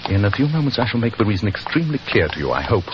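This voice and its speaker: An actor playing a confident, masterful British detective in a 1940s radio drama, precise and commanding, with theatrical delivery. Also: male voice, lowish voice, big pitch swings, rapid-fire. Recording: muffled 1940s radio recording, faint noise.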